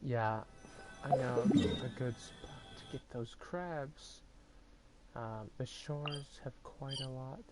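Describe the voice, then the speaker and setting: Relaxed let's-play narrator, slow and chatty, talking to themselves, game music loud. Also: mid-range voice. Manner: chatty, exclaims and laughs to self. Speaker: gamer playing and commenting. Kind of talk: casual let's-play commentary.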